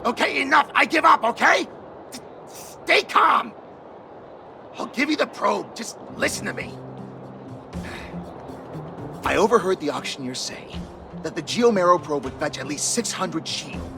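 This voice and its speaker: Wheedling thief, video game villain, greedy, taunting, huge pitch swings, creaky edge, theatrical, music behind. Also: male voice, very animated, normal speed, full character voice. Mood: panicked. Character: sneering, wheedling, greedy male petty thief. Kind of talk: animated video game voice acting.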